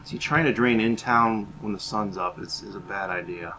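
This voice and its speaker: A man's voice: fairly low pitch, moderate pace, bright, forceful tone, slight background noise, low-quality recording. A man giving casual, half in-character roleplay commentary over a video game, moving between muttered asides and theatrical remarks. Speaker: gaming video host.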